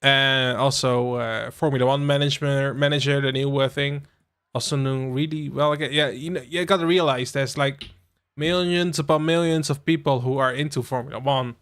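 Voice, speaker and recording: A man's voice: medium pitch, steady tone, normal speed, fairly bright tone, clear, resonant tone. Dutch young man streaming casually. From Netherlands. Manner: rambling, full of fillers and asides to chat. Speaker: livestream host. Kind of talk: casual live-stream chat while playing a game.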